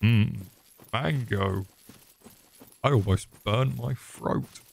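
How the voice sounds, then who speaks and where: Slow-talking sweary man. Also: mid-range voice, creaky, a little mellow, a little hiss or hum. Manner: sweary, sarcastic, crude jokes. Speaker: streamer. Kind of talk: swearing live gameplay commentary.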